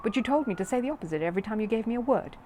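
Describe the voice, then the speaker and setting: Woman voicing an eager, high-pitched little storybook bear. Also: animated, racing, darkish tone, slight background noise, lightly acted. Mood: earnest, helpful. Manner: earnest, prompt, innocently matter-of-fact. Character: polite, eager, literal-minded young male bear.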